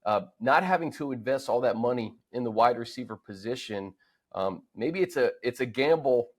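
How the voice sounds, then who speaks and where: A man with a mid-range voice hosts sports talk. He speaks conversationally, working through his analysis in a measured way with pauses to choose words. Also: mid-range voice, expressive, husky. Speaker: sports show host.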